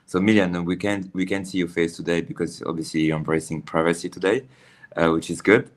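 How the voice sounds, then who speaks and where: A man's voice: low voice, fairly even intonation, fairly quick. A man hosting a casual interview over a video call, repeating phrases with loose grammar. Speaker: host.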